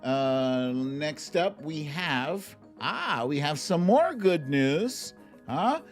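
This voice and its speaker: A man's voice: a little high, sing-song, extremely slow, soft background music. A man with an American accent chatting informally and expressively as the host of a live online talk show. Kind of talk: casual livestream chat and commentary.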